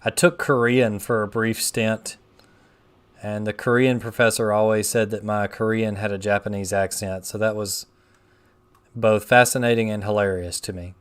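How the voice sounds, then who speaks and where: A man's voice: fairly low pitch, flat delivery, moderate pace, clear, resonant tone. A man in his thirties chatting casually with rambling asides while playing a video game on stream. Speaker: gaming streamer. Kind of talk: casual let's play chat.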